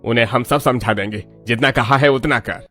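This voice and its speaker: A man's voice: mid-range voice, brisk, bright, forceful tone, faint music behind. A man voicing a pushy, scheming middle-aged uncle in a Hindi cartoon story, insistent and persuasive. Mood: urgent, stern.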